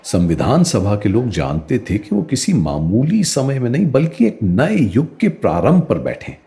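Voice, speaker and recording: A man's voice: mid-range voice, huge pitch swings, moderate pace, creaky, mellow, breathy edge, full character voice. A man playing a thoughtful political science professor in a radio play, explaining things expressively and emphatically. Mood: explanatory, enthusiastic. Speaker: political science teacher character. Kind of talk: radio play dialogue, Hindi mixed with English.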